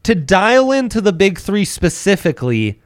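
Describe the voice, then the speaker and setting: A man co-hosts a sports betting chat, firing off quick quips and playful asides. His pitch is a little high and jumps about with energy. The recording is close and dry. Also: big pitch swings, no echo. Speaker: podcast co-host.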